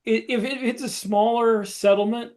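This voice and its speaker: Guy with a pretty high voice, podcast chat, kinda stop and start, repeats words. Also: steady tone, moderate pace, darkish tone. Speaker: podcast co-host. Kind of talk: casual discussion, describing story scenes.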